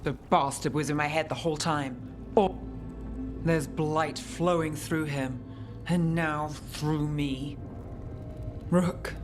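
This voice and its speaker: A woman's voice: deep voice, fairly even intonation, slow pace, pauses often, loud music behind the voice. An actress playing a weary, determined woman mage in a video game, with a restrained, dry delivery. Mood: grim, troubled. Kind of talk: dramatic video game cutscene acting.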